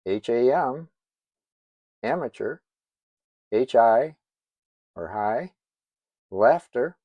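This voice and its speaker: An older man giving an informal instructional narration in a measured, plain-spoken manner, as a hobbyist presenter. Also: mid-range voice, no echo, highs cut off. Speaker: amateur radio operator presenting a tutorial.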